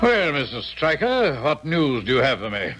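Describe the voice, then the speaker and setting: A man playing a suave, scheming gentleman in a radio drama, polite, hesitant and insinuating. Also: medium pitch, very animated, moderate pace, loud music behind the voice, lightly acted. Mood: pleased, crafty. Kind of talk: 1970s radio drama acting. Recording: noisy vintage radio recording.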